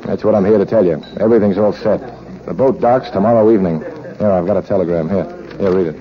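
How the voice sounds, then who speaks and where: An actor playing a cynical, money-hungry American gunrunner in 1940s radio drama, wry and wisecracking, with hard-boiled narration. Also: male voice, low-pitched, quick pace, close to an everyday voice. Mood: brisk, mercenary. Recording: muffled, noisy 1940s radio recording.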